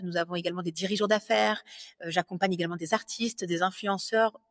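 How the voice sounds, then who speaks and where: A woman's voice: lowish voice, steady tone, quick pace, dry recording, clean studio sound. A French woman in her thirties, a business lawyer, talking fluently and confidently in an interview, with frequent fillers and self-corrections. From France. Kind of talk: interview conversation.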